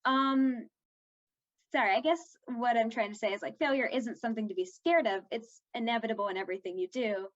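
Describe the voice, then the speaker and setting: A woman's voice: fairly high pitch, brisk, lo-fi sound. A young woman being interviewed as an actor, chatting informally and peppering her sentences with "like". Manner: informal, heavy use of "like". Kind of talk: casual interview chat.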